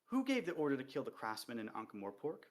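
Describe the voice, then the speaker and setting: An unhurried male dwarf king questions in a comfortable, sing-song voice, as a man reads him aloud. His tone stays patient and level, with a lively rise and fall. It sounds like a storybook ruler who never raises his voice. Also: mid-range voice. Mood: calm. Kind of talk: reading a novel aloud.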